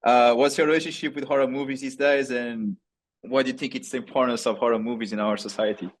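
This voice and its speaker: A man's voice: medium pitch, fairly even intonation, fast talker. A man with a Portuguese accent interviewing a fellow musician in casual, hesitant, repetitive phrasing full of "like" and "yeah".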